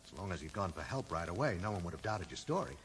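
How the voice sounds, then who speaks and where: An actor playing a dry, sarcastic amateur sleuth who probes and accuses in a 1980s radio drama. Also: male voice, low voice, big pitch swings, quick pace. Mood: accusing, resolute. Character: sardonic, probing male amateur sleuth confronting an old friend. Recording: echoey, noisy old radio broadcast recording.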